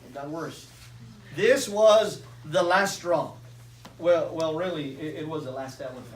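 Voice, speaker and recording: Expressive woman reading aloud in echoey room. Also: low voice, measured pace, a little hiss or hum. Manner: expressive, dramatic emphasis, engages listeners with questions. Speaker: storybook reader. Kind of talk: interactive children's read-aloud. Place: indoor room with a live audience. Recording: echoey room, distant mic, audience chatter.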